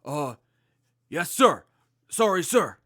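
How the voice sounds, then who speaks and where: A man's voice: a little high, very animated, slow pace, a bit bright, dry recording, clean studio sound, heavily acted. A man playing a nervous, deferential subordinate soldier in an audio drama, flustered and eager to please.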